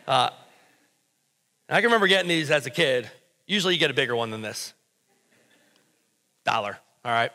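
A man's voice: medium pitch, bright, forceful tone. An American man in his thirties preaching a sermon as pastor, emphatic yet conversational, repeating phrases to drive them home. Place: stage of a church auditorium, indoors. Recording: reverberant hall sound.

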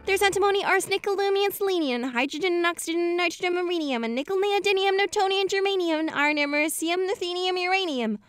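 A woman's voice: extremely high pitch, faint music behind. A woman doing an earnest bookish unicorn pony. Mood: singsong. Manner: brisk, earnest and take-charge. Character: studious earnest young female unicorn pony.

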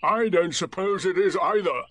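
A man voicing a gloomy, sarcastic old male donkey who speaks in dry, drawn-out remarks. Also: high-pitched, a bit bright, dry recording, pitched above the performer's own voice. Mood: sardonic.

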